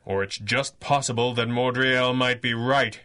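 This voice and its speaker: An actor playing a stern, analytical masked superhero, speaking gravely in the style of a 1930s radio serial. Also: male voice, mid-range voice, fairly even intonation, measured pace, bright, forceful tone. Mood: grave, pondering. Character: stern, commanding masked superhero crime-fighter, calmly analytical.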